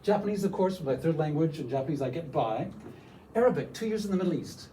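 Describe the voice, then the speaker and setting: A man's voice: a little high, expressive, fast, dark tone, far from the mic, a little hiss or hum, poor audio. An older man from Canada giving a teacher workshop, enthusiastic and often checking in with "okay?". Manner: enthusiastic, tags lines with "okay?". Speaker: workshop presenter.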